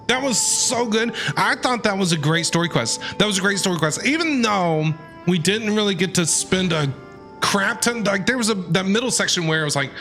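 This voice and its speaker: A fairly high-pitched man with a bright, forceful voice. He reacts casually and enthusiastically to a game, repeating phrases, with music underneath. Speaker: game streamer. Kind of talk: casual reaction commentary while playing a game.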